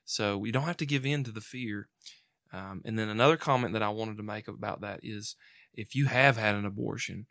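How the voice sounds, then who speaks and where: American guy, kinda low voice, preachy in an earnest pastoral way, no echo at all. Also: a little fast, a bit bright, clean studio sound. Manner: earnest, exhortative. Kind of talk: pastoral talk.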